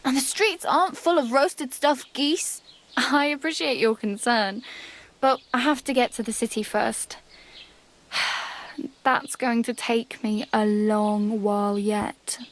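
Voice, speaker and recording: A woman's voice: fairly high pitch, fairly even intonation, slow. A woman playing a plucky, wry young medieval beggar who wanders from place to place, in a folksy, teasing way for a video game. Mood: frank. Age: young adult. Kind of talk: video game dialogue acting. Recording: background music under the dialogue.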